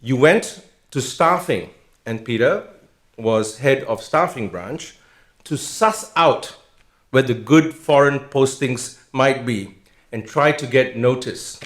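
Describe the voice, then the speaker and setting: The sound is roomy and echoing, as at an indoor public event. A middle-aged man speaks at an average pitch, hosting with a formal introduction that makes space for personal anecdotes. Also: expressive, slow, fairly bright tone. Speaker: host introducing a guest lecturer.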